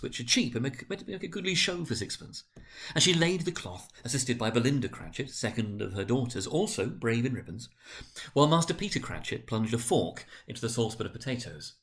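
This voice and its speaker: A man narrating a classic story as a dramatic reading, in an expressive, theatrical manner. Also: medium pitch, brisk.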